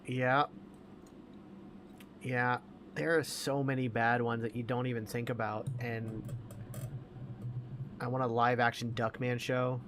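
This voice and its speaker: A man's voice: medium pitch, level tone, a little slow, pauses often. Opinionated man in his forties, noisy background. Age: 40s. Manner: opinionated, emphatic, repeats himself for emphasis. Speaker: podcast co-host. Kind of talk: casual chat about movies.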